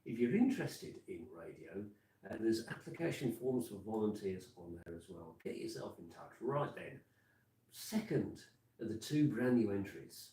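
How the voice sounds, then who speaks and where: A man's voice: fairly low pitch, very animated, normal speed, dark tone. Brummie man on echoey studio mic. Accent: Birmingham (Brummie). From Birmingham, England. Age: 50s. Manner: chatty, rambling, with many fillers and restarts. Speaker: radio presenter. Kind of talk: casual live radio chat with song links. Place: community radio studio. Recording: echoey room, mic at a distance. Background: music playing.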